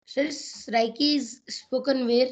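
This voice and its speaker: A woman's voice: high-pitched, fairly even intonation, a little slow, crowd noise. A female student in class, answering and asking the teacher questions. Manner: answers and asks questions, addresses teacher as sir.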